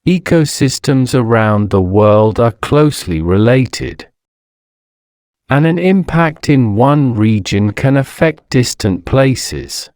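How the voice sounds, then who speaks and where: A man reading scripted educational narration in a measured, deliberate way, enunciating each phrase with pauses. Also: medium pitch, expressive, a little mellow, smooth, no room sound, studio quality. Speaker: narrator of an English-learning audio story.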